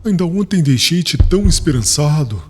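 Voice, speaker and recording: A man playing a stern young bridegroom softened by grief, pleading emotionally in an audiobook drama. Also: fairly high pitch, lively intonation, moderate pace, big-room sound, faint music behind. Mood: anguished, pleading.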